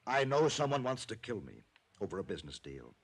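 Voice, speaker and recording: Husky, frightened businessman. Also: on the low side, big pitch swings, exaggerated voice. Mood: anxious, evasive. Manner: pleading, halting, melodramatic. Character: frightened, evasive wealthy male oil businessman. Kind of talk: old-time radio drama acting.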